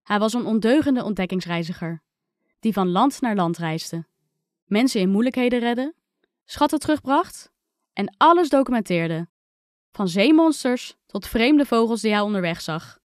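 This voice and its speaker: A woman reading a scripted first-person children's story with expressive, dramatic delivery. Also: fairly high pitch, normal speed, no echo, clean studio sound. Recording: music under the voice.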